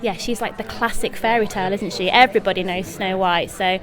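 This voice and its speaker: A young British woman gives an effusive, enthusiastic interview at an ordinary female pitch. She talks like a performer chatting about work, with a busy crowd clearly audible behind her. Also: steady tone, brisk, rough recording. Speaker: pantomime actress being interviewed. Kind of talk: interview chat. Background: busy press event crowd.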